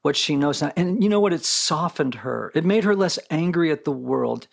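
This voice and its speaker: A man's voice: fairly high pitch, expressive, moderate pace, vocal fry. A middle-aged man co-hosting a podcast, chatting in a rambling, wry way with fillers. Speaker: podcast co-host. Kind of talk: casual interview conversation.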